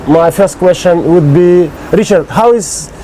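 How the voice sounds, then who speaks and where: A man whose first language is Russian, hosting an interview and asking questions in hesitant, halting English. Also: sits high, mellow, a little hiss or hum, low-quality recording. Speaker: interviewer, host. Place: outdoors on an island.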